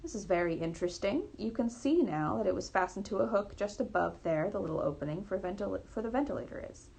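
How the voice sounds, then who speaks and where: A keen, inquisitive male detective from a storybook, voiced by a woman. The voice is gravelly and a little rough, with deliberate, confident questions and exclamations. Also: medium pitch, expressive, darkish tone. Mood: intrigued. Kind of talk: storybook reading.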